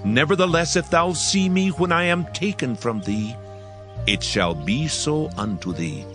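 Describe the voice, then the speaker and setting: An actor playing a solemn aging male prophet in a dramatized scripture, with a grave, measured delivery. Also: on the high side, lively intonation, fairly bright tone, soft background music.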